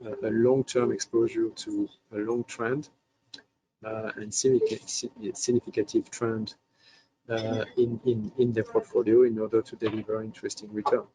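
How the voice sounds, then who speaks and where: Male investment panelist, low-ish monotone, soft dark tone, halting with repeated words. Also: noisy background. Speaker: chief investment officer, panel speaker. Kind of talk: explanatory conference talk.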